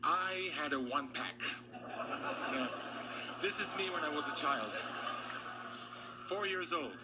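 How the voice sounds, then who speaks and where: High-voiced stage magician, noisy clip. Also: male voice, measured pace. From Israel. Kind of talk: stage patter in a TV show.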